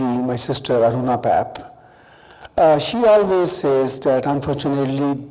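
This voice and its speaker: A man from the audience putting a question to a seminar panel, measured and halting. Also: medium pitch, darkish tone. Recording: reverberant hall.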